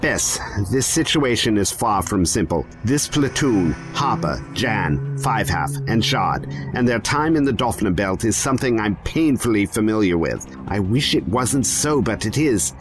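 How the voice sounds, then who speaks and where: A man playing a weary, secretive time traveller in an audio drama, measured, evasive and wry. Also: lowish voice, very animated, music in the background. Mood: regretful.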